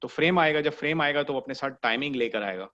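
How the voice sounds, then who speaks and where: A man's voice: a little high, fast talker, bright, forceful tone, dull audio. A man teaching an online animation class, explaining patiently and checking that students follow. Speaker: animation instructor.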